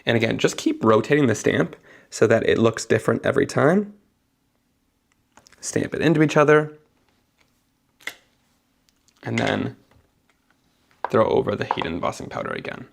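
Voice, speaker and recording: A man's voice: mid-range voice, creaky, a little hiss or hum. A young man, sniffly after being sick, chatting enthusiastically as he narrates each step of a live card-making tutorial.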